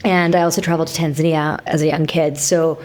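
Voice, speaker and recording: A woman from Canada in her thirties, a guest telling her story in an interview at a thoughtful, measured pace. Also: deep voice, little pitch movement, darkish tone. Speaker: guest, company founder. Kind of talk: casual interview.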